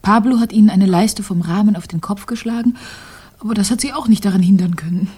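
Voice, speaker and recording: An actress playing a self-possessed, teasingly polite young artist's model with a soft, breathy voice in a radio crime drama. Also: female voice, mid-range voice, fast talker, lightly acted. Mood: tense recounting.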